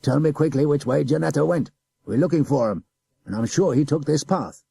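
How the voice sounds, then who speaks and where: Dude doing a loud pushy soldier, chuckly and bullying, husky mellow voice, dry. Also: male voice, on the high side, a little fast, no echo, voice pushed up. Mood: angry threatening. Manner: blustering, chuckling, coaxing. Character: blustering middle-aged male soldier, threatening, wheedling. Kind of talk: audiobook character voice.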